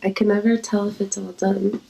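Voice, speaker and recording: A woman with a congested, creaky voice and a cold, chatting casually and intimately to viewers in a flirty, playful manner. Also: mid-range voice, little pitch movement, moderate pace, mellow, low-quality recording.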